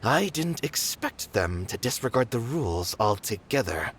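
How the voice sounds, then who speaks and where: A man voicing an earnest, dutiful theater manager in a video game, speaking in a measured, formal way. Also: mid-range voice, big pitch swings, a bit bright, breathy edge, full character voice. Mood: firm, serious. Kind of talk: dramatic game dialogue.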